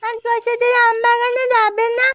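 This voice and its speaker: A woman acts out a young village girl for a cartoon fairy tale. The voice is very high and childlike, curious with her elders. Soft music sits underneath. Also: little pitch movement, normal speed. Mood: curious, plaintive.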